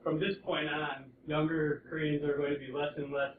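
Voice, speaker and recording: A man's voice: on the high side, little pitch movement, moderate pace, background noise. Stumbling audience man in echoey hall. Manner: stumbling, repeats words. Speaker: audience member. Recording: echoey hall, far mic, phone-like.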